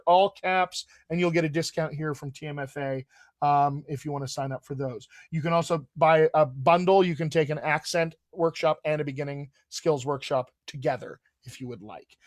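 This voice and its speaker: A man's voice: a little high, dry recording, studio quality. Upbeat dialect coach on a live stream, man. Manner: upbeat, promotional, filler-heavy. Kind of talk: casual live-stream chat.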